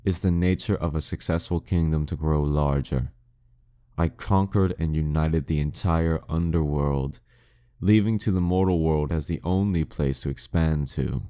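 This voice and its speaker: A man's voice: extremely low pitch, mellow. A man playing a proud, dominant demon king in an audio roleplay, teasing and condescending in an intimate scripted monologue. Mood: coaxing, condescending. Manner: slow, teasing, condescending, smugly theatrical. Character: dead male demon king, taunting, dominant, proud former nemesis.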